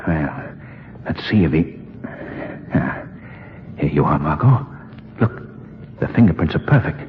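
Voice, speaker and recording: An actor playing a shrewd, composed gentleman sleuth in a 1940s radio drama, confident and matter-of-fact. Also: rumbling low, animated, unhurried. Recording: muffled, noisy 1940s radio recording.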